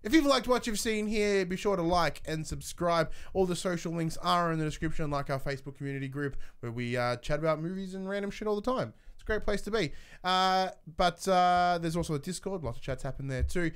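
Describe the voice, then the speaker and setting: A man's voice: sits high, a little fast, hardly any pauses, clean tone. A man hosting a casual livestreamed film chat, rambling informally and often restarting his sentences. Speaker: podcast host.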